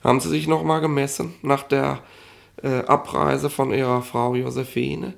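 Gravelly, patronising police inspector. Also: male voice, medium pitch, level tone, moderate pace. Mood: smug, jovial. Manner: colloquial, rambling, patronising, chuckling bureaucrat. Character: jovial, overbearing male police inspector, mockingly paternal. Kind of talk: 1960s German radio play acting.

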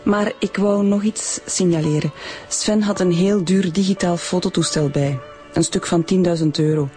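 A woman's voice: fairly low pitch, fairly even intonation, normal speed, darkish tone, soft background music, lightly acted. A woman with a Flemish accent playing a guarded, prickly witness in a radio drama, curt and formal. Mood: prickly, pointed. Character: guarded, prickly girlfriend of a crime victim.

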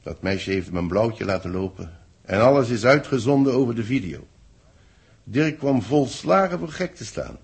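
An actor playing a grave, authoritative boss with a husky voice and solemn dramatic phrasing, in 1960s radio drama style. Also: male voice, fairly low pitch, very animated, moderate pace, heavily acted. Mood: troubled, grave.

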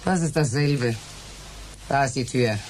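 A woman's voice: extremely low pitch, measured pace, darkish tone, rough recording. Cold businesslike manager lady, curt n dismissive, sci-fi radio play, bit of background noise. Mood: indignant, scornful. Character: cold, hard-nosed female music manager, curt and mercenary. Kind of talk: sci-fi radio drama acting.

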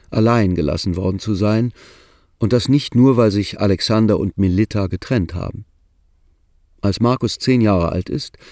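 An actor playing a matter-of-fact chronicler in a radio drama, narrating in a sober, measured, literary style. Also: male voice, lowish voice, creaky, darkish tone, no echo, clean studio sound.

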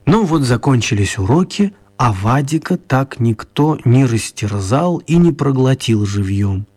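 A man narrating a children's radio play as an expressive, mock-serious storyteller. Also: mid-range voice, a little slow, breathy edge, no room sound.

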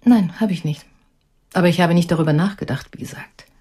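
A woman's voice: on the low side, brisk, mellow, husky. An actress playing a cool, composed middle-aged mother, speaking in a reserved, matter-of-fact way in understated radio drama dialogue. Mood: reserved, candid.

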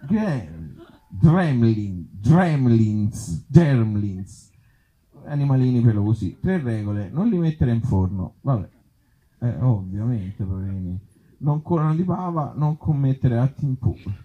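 A man from Italy reading absurd short comic stories aloud to a live gathering, with deadpan humour and offhand, hesitant asides. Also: mid-range voice, unhurried, slightly rough, dull audio. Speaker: author and actor reading his comic tales at a live event.

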